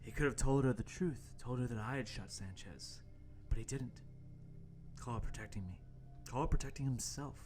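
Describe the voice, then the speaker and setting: A man's voice: medium pitch, normal speed, over music, close to an everyday voice. A man playing an earnest young intern, narrating an amateur radio play with overwrought seriousness. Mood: solemn narration. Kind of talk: amateur radio-play table read, first-person narration.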